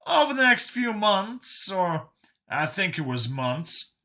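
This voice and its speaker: An earnest older man who escaped captivity, as acted by a male audiobook reader. His voice is pitched well up and very bright, with lively swings. He explains his hardships haltingly but with emphasis. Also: exaggerated voice. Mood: grim recounting. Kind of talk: audiobook character dialogue.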